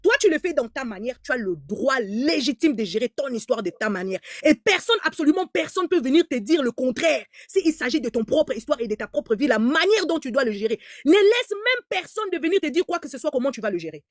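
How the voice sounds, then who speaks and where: A woman's voice: high voice, very animated, fairly quick, few pauses, no echo, clean studio sound. A woman giving heated live commentary in an emphatic, repetitive way, stammering as she restarts, whose first language is German. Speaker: online commentator.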